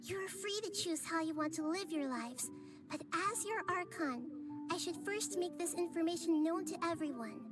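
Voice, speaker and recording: A woman voicing a young-sounding goddess in a game, speaking softly, calmly and thoughtfully, wise beyond her years. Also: very high voice, moderate pace. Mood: earnest. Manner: soft, calm and thoughtful speech. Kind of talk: game cutscene voice acting. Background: game music.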